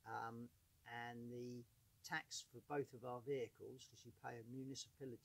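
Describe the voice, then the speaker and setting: Husky man, 50s, hoarse and coughing, loose rambling delivery, filmed outdoors on a far camera. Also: mid-range voice, flat delivery, normal speed. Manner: rambling, coughs often, many fillers. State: hoarse from bronchitis. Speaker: vlogger. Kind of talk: casual chat to camera. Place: outdoors in a sunny yard by a woodpile. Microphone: far camera on a tripod.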